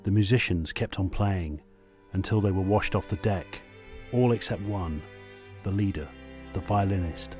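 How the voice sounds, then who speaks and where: An actor playing a sombre sailor who survived a shipwreck, giving plain, reflective testimony in a radio drama. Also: male voice, sits low, normal speed, darkish tone, natural voice. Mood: sombre, remembering. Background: guitar music.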